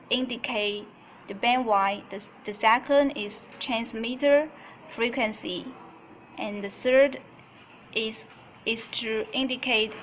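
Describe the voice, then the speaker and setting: Higher-pitched woman giving a halting product demo. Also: a bit bright, slight background noise, low-quality recording. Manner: halting, repeats phrases while explaining in non-native English. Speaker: company product demonstrator. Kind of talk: product tutorial, semi-scripted demonstration. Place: indoors at a desk.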